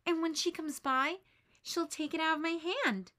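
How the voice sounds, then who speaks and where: A woman's voice: very high voice, fairly even intonation, moderate pace, sharp tone, voice pushed up. A woman reading aloud, voicing an anxious, shy boy who talks nervously and wistfully.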